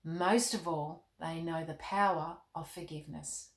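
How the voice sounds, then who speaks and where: A woman reading the part of a gentle, wise, fatherly storybook king in a calm, measured, reassuring way. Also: low-pitched, pitched below the performer's own voice. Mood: calm, kindly. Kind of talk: storybook read-aloud. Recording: roomy, echoing indoor sound.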